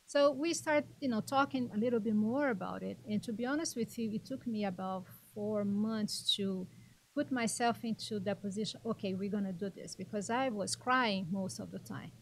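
A woman's voice: medium pitch, moderate pace, a little hiss or hum, low-quality recording. A woman from Brazil with a Brazilian Portuguese accent, a documentary co-creator talking earnestly and emotionally as a guest in a studio interview, on a desk microphone. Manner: earnest, emotional, talks in loose restarts. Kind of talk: casual interview chat. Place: small TV studio set. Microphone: desk microphone on a boom.

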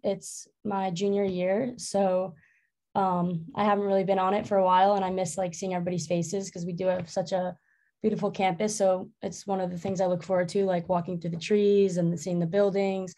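Young woman, fast talker, mellow voice, upbeat, video call. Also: medium pitch, level tone. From New Jersey. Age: 20s. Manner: upbeat, says "like" often. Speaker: orientation leader. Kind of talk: casual panel talk.

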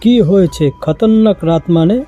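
A man voicing a stern, questioning younger gentleman in an animated cartoon horror story. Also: high-pitched, normal speed, dull audio, soft background music, lightly acted. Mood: stern, alarmed. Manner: firm, questioning.

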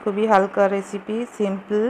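Woman narrating a recipe, clearly noisy recording. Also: medium pitch, monotone, normal speed, a little mellow. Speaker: home cooking show host. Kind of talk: casual step-by-step recipe narration. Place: home kitchen.

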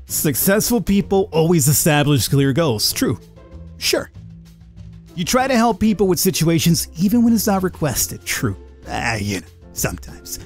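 A man's voice: fairly high pitch, sing-song, normal speed, soft background music. A man playing a game, commenting casually and reading text aloud. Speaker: gamer, let's player.

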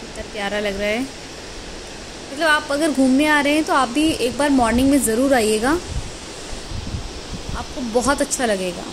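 A woman vlogging as she walks a mountain trail, cheerfully pointing out the sights to her viewers. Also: high voice. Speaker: travel vlogger. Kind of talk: walking travel vlog commentary. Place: outdoors on a mountain trail near a waterfall. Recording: outdoor phone audio with water noise. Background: rushing water.

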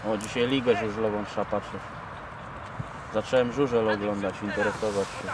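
A man's voice: fairly low pitch, normal speed. A young man chatting casually and swearing while filming a football match from outdoor stadium stands on a camera mic. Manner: slangy, swears, lively banter. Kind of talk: casual chat. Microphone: handheld camera mic. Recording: loud outdoor noise, crowd.

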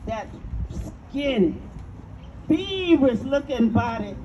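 Woman playing outspoken enslaved gossip, lively swooping voice, emphatic, folksy, dull audience recording outdoors, crowd around. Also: high-pitched, slow. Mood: blunt, gossipy. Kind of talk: live dramatic stage reading.

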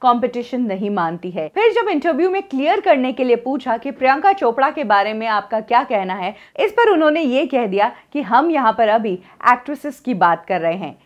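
Woman, 30s, celebrity news presenter, lively storyteller, studio, lo-fi audio. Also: fairly high pitch, fairly quick, hardly any pauses. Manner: lively storytelling delivery, mixing in English phrases. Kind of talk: scripted celebrity gossip presentation.

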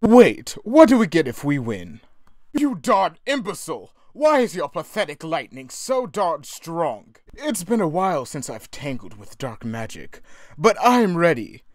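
Guy doing a whiny indignant sorcerer, dramatic, lively pitch, dry close sound. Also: a little slow, dry recording. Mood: agitated, dramatic. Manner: exclamatory, dramatic. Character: petulant, pent-up sorcerer villain, dramatic and indignant.

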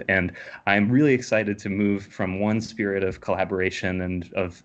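A man hosting a poetry reading over a video call in a casual, enthusiastic style. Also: low voice. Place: indoor room on a video call.

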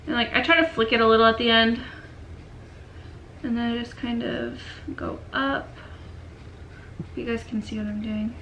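A woman's voice: a little high, fairly even intonation, normal speed, vocal fry, long pauses, highs cut off, slight background noise. Chatty sniffly woman doing makeup. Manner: chatty, filler-heavy, drifts into quiet murmurs while working. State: sick and sniffly. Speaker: beauty vlogger. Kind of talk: casual makeup tutorial. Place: dim bedroom, indoors.

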